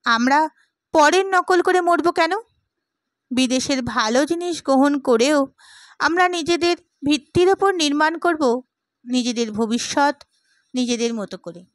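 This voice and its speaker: A woman reading as an idealistic, opinionated gentleman in an audiobook, with an oratorical, emphatic manner. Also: high-pitched, a little slow, pauses often, no echo. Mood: fervent, proud. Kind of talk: dramatised audiobook character.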